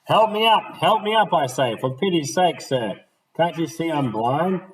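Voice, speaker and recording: A man doing a pleading blind old gentleman. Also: a little high, expressive, measured pace, far from the mic, pitched above the performer's own voice, poor audio. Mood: desperate. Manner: pleading and anxious.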